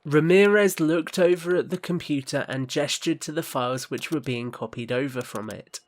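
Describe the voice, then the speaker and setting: A man's voice: a little high, moderate pace. A man narrating an audio drama in a scripted storytelling style. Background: musical score.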